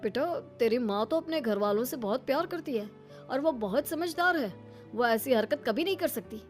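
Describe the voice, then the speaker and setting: A calm, trusting middle-aged Indian father played by a woman narrator in a domestic story. The voice stays high, with light music underneath. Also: normal speed. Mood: reassuring, puzzled.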